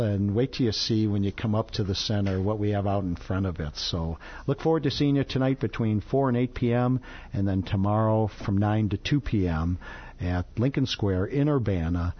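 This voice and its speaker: Chatty, low-voiced radio host man. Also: muffled recording. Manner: chatty and upbeat, laughs often. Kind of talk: casual on-air announcements.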